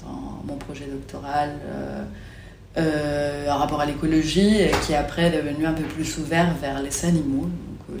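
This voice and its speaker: Low, creaky-voiced woman, hesitant. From Mexico. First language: Spanish. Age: 40s. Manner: hesitant, halting. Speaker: interviewee, photographer. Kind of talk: casual interview talk. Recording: roomy, echoing, clear background noise.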